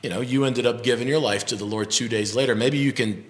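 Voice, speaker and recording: A man's voice: medium pitch, quick pace. A man hosting a ministry broadcast, interviewing his wife conversationally with leading questions and frequent restarts. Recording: long hall reverb.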